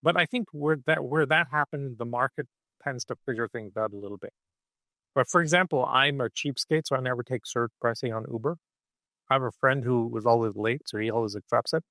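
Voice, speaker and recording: Male podcast guest, middle voice, dry close sound, lively explainer who keeps asking "right?". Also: mid-range voice, a little fast, dry recording. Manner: punctuates points with "okay?" and "right?". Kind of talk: animated conversational explaining.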